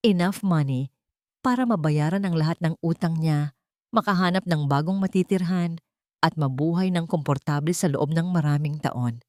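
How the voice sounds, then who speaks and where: A woman narrates a scripted audio drama. Her pitch sits a little below average for a woman. The recording is dry, with next to no room sound. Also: normal speed, darkish tone, no room sound.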